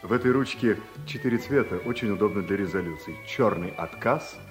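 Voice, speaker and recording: An actor playing a self-satisfied, elegant deputy director of about forty, slow and pompous. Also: male voice, fairly low pitch, close to an everyday voice. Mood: smug. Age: around 40. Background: music.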